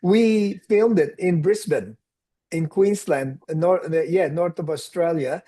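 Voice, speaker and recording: A man in his sixties from the Philippines with a Filipino accent, telling his story as an interview guest in a relaxed, reflective way and dropping in Tagalog phrases. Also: a little high, slow pace. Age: 60s. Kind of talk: casual interview conversation.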